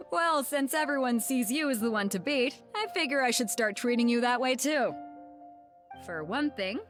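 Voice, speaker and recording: Actress as bold woman ship captain, mid-pitched voice, commanding, hearty, teasing, video game dialogue. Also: a bit bright, lightly acted.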